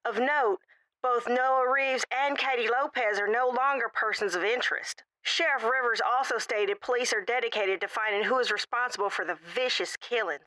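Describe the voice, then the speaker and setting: A woman playing a composed, serious female news reporter in an audio drama, formal and measured. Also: fairly high pitch, pushed and bright, dry recording, poor audio.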